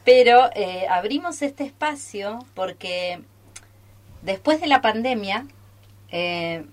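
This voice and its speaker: A woman's voice: medium pitch, expressive, slow pace. A middle-aged woman with an Argentine accent, a theatre teacher chatting as a guest in a radio studio. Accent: Argentine Spanish. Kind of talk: casual group interview.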